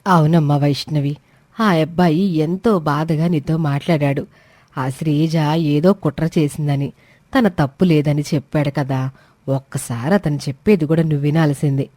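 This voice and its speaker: A woman voicing a concerned parent who earnestly and gently questions a grown daughter in a story. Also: low voice, fairly even intonation, no echo. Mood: concerned, probing. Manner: earnest, slow pleading questioning.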